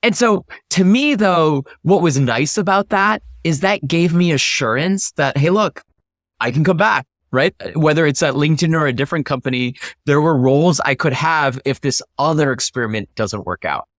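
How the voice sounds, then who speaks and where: A man's voice: fairly high pitch, expressive, moderate pace, a bit bright, no echo, studio quality. A man speaking as an interview guest, explaining his thinking in an emphatic, conversational way with rhetorical questions. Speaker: interview guest, startup founder.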